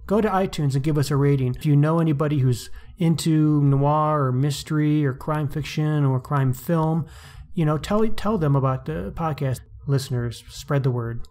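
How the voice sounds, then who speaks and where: A man's voice: fairly high pitch, normal speed, a little mellow. A man co-hosting a podcast, chatting casually with an enthusiastic, conversational manner. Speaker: podcast co-host.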